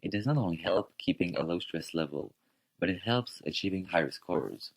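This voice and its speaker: A young male student with a low voice argues his side in a scripted radio debate. His wording is formal and measured, and the sound is close and dry. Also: expressive, vocal fry, breathy edge, dry recording, low-quality recording. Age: young adult.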